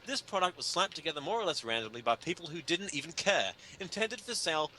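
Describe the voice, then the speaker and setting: A man performs a comic article in a bright, forceful voice with very lively intonation. His delivery is emphatic and sardonic, pitched as mock indignation. There is faint noise in the recording. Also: fast. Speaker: reader performing a humor article. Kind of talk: dramatic comedic reading of an article.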